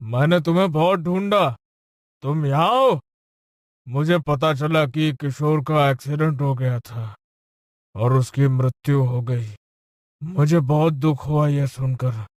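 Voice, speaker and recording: A man's voice: fairly high pitch, slow pace, dry recording, clean studio sound. A man voicing a rich, powerful village landlord who talks bluntly and commands others. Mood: regretful. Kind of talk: cartoon voice acting.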